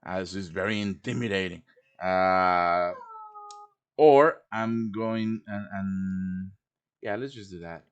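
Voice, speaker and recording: A man with a fairly low voice hosts a casual live tutorial. He talks through each step in a chatty, unscripted way. He often tags his explanations with a quick check that listeners follow. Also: clear, resonant tone. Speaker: host teaching game quest design. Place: live stream over online voice chat.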